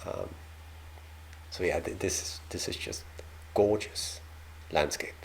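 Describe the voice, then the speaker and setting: A man's voice: deep voice, slow, vocal fry, slight background noise, poor audio. A man from Denmark with a Danish accent, hosting a casual reaction video and talking hesitantly with many ums and restarts.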